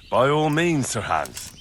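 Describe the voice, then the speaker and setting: Wry young medieval peasant lad. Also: male voice, medium pitch, a bit bright, slight background noise, exaggerated voice. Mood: sardonic. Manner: dry, sardonic deference. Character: cheeky young peasant squire, wry, mock-humble. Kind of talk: video game cutscene acting.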